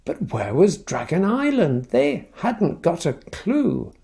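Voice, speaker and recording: A man reading a picture book aloud for children, with expressive, playful storytelling and little asides. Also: high-pitched, slow pace, creaky.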